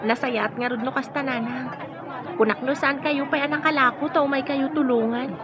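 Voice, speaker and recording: Woman as questioning young daughter, high voice, Ilocano accent, radio drama, lo-fi with faint chatter. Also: fairly even intonation, moderate pace, background noise, lightly acted. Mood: polite, caring. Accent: Filipino, Ilocano. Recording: dull lo-fi audio, faint chatter.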